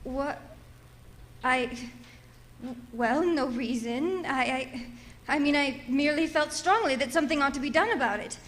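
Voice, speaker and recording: A woman's voice: sits high, a little slow, fairly bright tone, slight background noise. An actress playing an imperious, frightened married society woman in radio melodrama, agitated, haughty and prone to stammering. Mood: flustered. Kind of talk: old-time radio melodrama acting.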